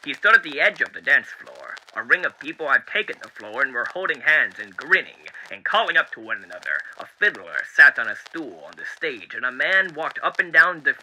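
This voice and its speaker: Eerily cheery demon radio host, very sharp bright tone, swooping intonation, mannered, old-time 1930s showman. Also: mid-range voice, exaggerated voice, rough recording. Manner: showy, jaunty, mannered.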